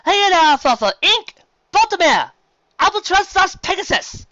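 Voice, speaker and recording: A high, booming female pony announcer, put on by a storybook reader, her name calls lively and swooping. She shouts the results over a dull, lo-fi recording with no room sound. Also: moderate pace, dry recording, full character voice. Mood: announcing. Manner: calling out results.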